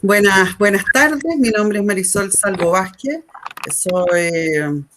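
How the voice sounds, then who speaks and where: A woman from Chile with a Chilean accent, a panel guest telling her personal story in a reflective, unhurried way. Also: mid-range voice, fairly bright tone, a little hiss or hum. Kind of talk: personal testimony.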